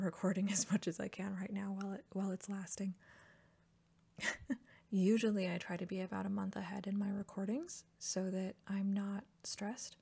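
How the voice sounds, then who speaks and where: A young woman talking softly, often dropping into whispers, her voice still scratchy from a recent cough, chatting in a relaxed way. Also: mid-range voice, vocal fry, husky. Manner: relaxed and chatty, with soft laughs. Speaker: ASMR artist painting while chatting. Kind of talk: soft-spoken ASMR art chat, sliding into whispers.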